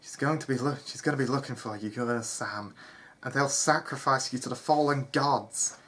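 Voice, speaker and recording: An earnest young nobleman in a fantasy storybook, read by the narrator, protective of a younger brother. He is anxious and sorrowful, speaking haltingly in a middling to raised voice. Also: mid-range voice, fairly bright tone, close to an everyday voice. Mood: urgent worry.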